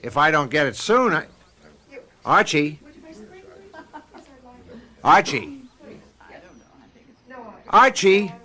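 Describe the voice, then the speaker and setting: An actor playing a brash, irritable advertising copywriter in a radio drama, blunt and insistent. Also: male voice, fairly high pitch, big pitch swings, extremely slow, long pauses, pushed and bright, heavily acted. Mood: breezy, then puzzled.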